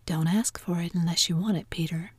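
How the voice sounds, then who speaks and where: A woman's voice: on the low side, fairly even intonation, fairly quick, a little mellow, husky, no room sound. A woman whispering the lines of a mischievous young boy in a storybook reading. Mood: sly.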